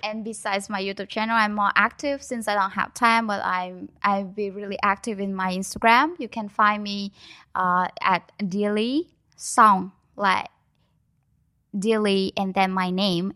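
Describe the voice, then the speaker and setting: A young woman whose first language is Vietnamese, answering questions as a guest with plenty of pauses and restarts. Her voice sits in a middle range and sounds close and dry. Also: mid-range voice, bright, forceful tone, dry recording. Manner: hesitant, many fillers and restarts. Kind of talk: casual interview.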